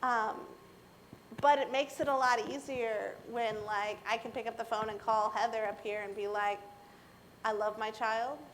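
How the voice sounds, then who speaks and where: High-voiced American woman, animated storyteller. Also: normal speed, slight background noise, poor audio. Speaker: keynote speaker on a panel. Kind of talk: casual anecdotal talk to an audience.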